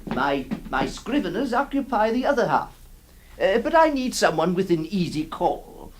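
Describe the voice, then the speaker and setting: A man's voice: high-pitched, very animated, normal speed. A man playing a flustered middle-aged lawyer who narrates and stammers, in an old radio drama. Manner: hesitant, stammering, fussy. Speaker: narrator and lead actor.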